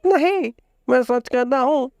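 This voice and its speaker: A narrator acts out a clever little rabbit from a children's storybook. The voice is high and unhurried, with a soft, mellow tone. It pleads respectfully, with sly cunning underneath. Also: smooth, dry recording, clean studio sound. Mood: earnest, cunning. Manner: pleading, deferential. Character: clever small rabbit feigning fear, humbly cunning.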